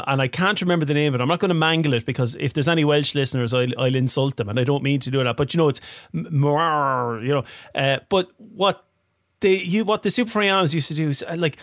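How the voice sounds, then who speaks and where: A man hosting a casual interview, chatty and quick to laugh, with many restarts. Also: a little high, a bit bright, no room sound, clean studio sound. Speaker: podcast host.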